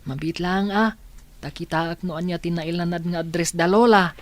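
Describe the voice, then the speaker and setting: A woman's voice: fairly low pitch, normal speed, no echo, natural voice. A woman playing a practical, protective older-brother boy in a radio drama. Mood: helpful. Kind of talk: Filipino radio drama acting.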